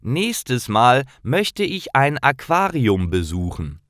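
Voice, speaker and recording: An excited, inquisitive little girl of nine as a male narrator puts her on, pitched well up. The lines are slow and lively, in storybook style for language learners. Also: no room sound, studio quality. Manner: eager, excited. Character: curious, enthusiastic nine-year-old little girl.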